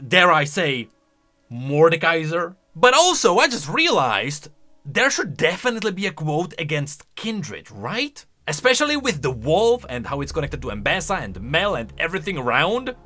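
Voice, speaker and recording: A man reacts casually as a game lore commentator, wry and full of opinions. His voice is bright and a little high, swinging widely in pitch as he talks. Faint music sits behind him. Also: big pitch swings, moderate pace.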